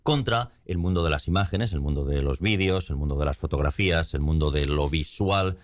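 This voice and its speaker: A man's voice: a bass voice, fairly quick, no room sound, clean studio sound. A bilingual man co-hosting a casual chat, musing at length and joking. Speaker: co-host.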